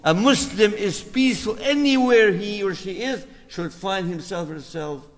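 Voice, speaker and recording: An older man from Albania with an Albanian accent, speaking as an imam in a rambling, storytelling way to a community gathering, drifting into asides and gentle exhortation. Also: high voice, normal speed. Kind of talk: informal talk to a community gathering. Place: mosque community hall. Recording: echoey hall reverb.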